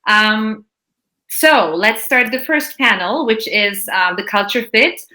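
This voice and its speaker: A woman's voice: on the high side, expressive, slow. A woman hosting an online panel discussion over a video call, upbeat and enthusiastic. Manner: upbeat, enthusiastic, warm welcome. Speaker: panel moderator.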